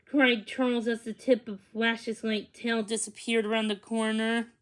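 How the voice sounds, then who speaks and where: A woman's voice: on the high side, little pitch movement, a little slow, a bit bright. A woman reading a children's storybook aloud, sometimes stumbling over words and correcting herself. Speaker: read-along reader.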